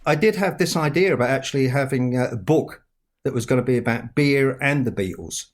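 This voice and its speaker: A man's voice: medium pitch, fairly quick. A middle-aged man from England with a London accent, an author chatting on a podcast over a video call, teasing and laughing as he talks. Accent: London English. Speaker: author guest. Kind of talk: casual podcast chat.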